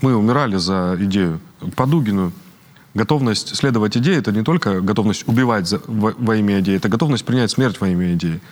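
A man in his thirties from Ukraine, speaking as an interview guest in an earnest, halting way with frequent restarts. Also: fairly low pitch. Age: 30s. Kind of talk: interview conversation.

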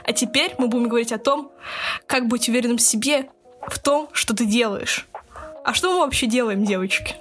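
A woman's voice: on the high side, fairly quick, fairly bright tone, faint music behind. A twenty-year-old woman hosting a casual podcast chat in a playful, teasing manner. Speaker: podcast host.

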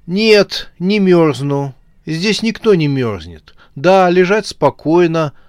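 A man's voice: on the high side, expressive, slow, darkish tone, dry recording, voice pushed up. An unbothered corpse acted out by a male narrator in a spooky comic storybook. He talks in a raised, carrying, conversational voice, like someone on a phone, with mild complaint and a dry sound. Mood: tired, annoyed. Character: matter-of-fact male corpse chatting on the phone.

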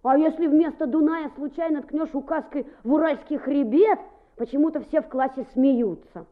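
An actress playing a lively, boastful small schoolboy in a radio play, muttering and exclaiming expressively to himself. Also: female voice, sits high, normal speed, phone-quality sound, lightly acted. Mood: indignant. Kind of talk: Soviet-style radio play acting.

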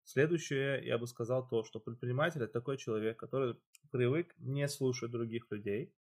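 A man's voice: mid-range voice, brisk. A man hosting a casual chat, explaining at length with loose phrasing and fillers. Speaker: podcast host.

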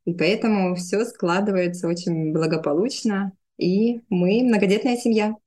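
A woman's voice: medium pitch, normal speed, darkish tone. A woman in her thirties speaking conversationally as an interview guest, telling personal stories. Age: 30s. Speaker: podcast guest, speech therapist.

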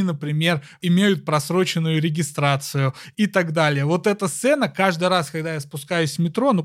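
A man hosting a podcast, explaining things to listeners in long, descriptive sentences. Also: a little high. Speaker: podcast host.

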